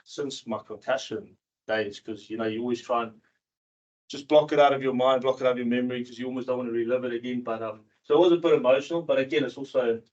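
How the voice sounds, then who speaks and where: Halting man speaking informally, muffled recording. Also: mid-range voice, steady tone, a little mellow. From South Africa, moved to New Zealand in his early teens. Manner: halting, repetitive, candid. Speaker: seminar speaker, cricket coach and former professional cricketer. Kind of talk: informal personal talk to an audience. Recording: dull, muffled seminar recording.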